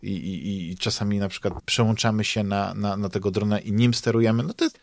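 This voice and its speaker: A man's voice: lowish voice, fairly even intonation, a little fast, vocal fry. A man with a Polish accent hosting a podcast in casual chat, rambling and hesitant, often repeating words as he searches for phrasing. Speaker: podcast host. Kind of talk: casual chat about games and comics.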